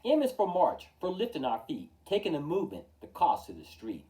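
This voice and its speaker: A man reads a rhyming picture book aloud as a storytime host. His delivery is very animated and theatrical, starting phrases with emphasis and letting them fall at the end. The tone is mellow, and he sounds a little far from the microphone. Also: a little high. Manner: theatrical, measured delivery with emphatic openings and falling line endings. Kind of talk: expressive children's picture-book read-aloud in rhyme.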